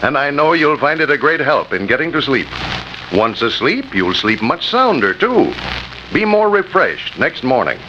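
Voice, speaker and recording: A dull, noisy vintage radio recording of a male announcer. His delivery is lively and melodic, folksy yet measured, as he persuades in the scripted style of a 1930s sponsor spot. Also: mid-range voice.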